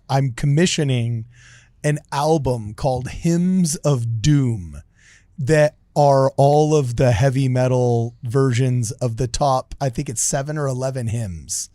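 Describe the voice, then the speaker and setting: A man's voice: mid-range voice, unhurried, mellow, no echo, clean studio sound. A man bantering casually as a podcast panelist, joking and using plenty of slang.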